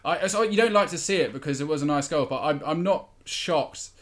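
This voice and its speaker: A young man giving hesitant, measured opinions as a football podcast panellist. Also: a little high. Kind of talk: casual football discussion.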